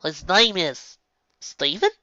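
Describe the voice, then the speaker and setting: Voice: mid-range voice, wildly swooping pitch, slow pace, sharp tone, dry recording, muffled recording, voice pushed up, heavily acted. A reader voicing a determined young human woman holding a rifle in an audiobook reading, with a tense, clipped manner.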